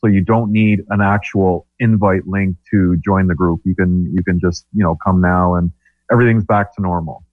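A man co-hosting a podcast, talking casually in a halting way with many restarts. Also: sits low, steady tone, no room sound. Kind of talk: casual chat. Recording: dull lo-fi line.

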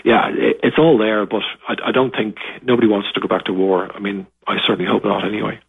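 Man, Dublin Irish accent, low monotone, husky, halting and emphatic, phone-line sound. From Ireland (Dublin). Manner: halting, many restarts and fillers, emphatic claims. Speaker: guest, author. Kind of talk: remote interview, casual talk.

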